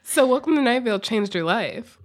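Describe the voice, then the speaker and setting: A woman hosting a podcast in a casual, expressive, reactive chatty style. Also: a little high, fairly quick. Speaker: podcast host. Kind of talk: casual interview chat.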